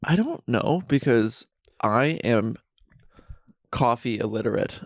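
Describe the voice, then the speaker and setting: A young man speaks at a middle pitch with a gravelly, fry-laden edge. He rambles through anecdotes and pokes fun at himself. The sound is close and dry. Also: expressive, takes it slowly, dry recording. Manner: rambling storyteller, self-mocking. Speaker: podcast co-host. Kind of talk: casual podcast chat. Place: friend's basement.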